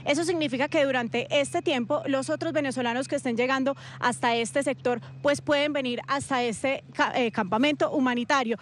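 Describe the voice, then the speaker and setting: A woman field reporter speaking on location in a large open-air camp, explaining the situation and then gently questioning people. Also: high-pitched, brisk, few pauses, slight background noise. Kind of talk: on-location news report and street interviews.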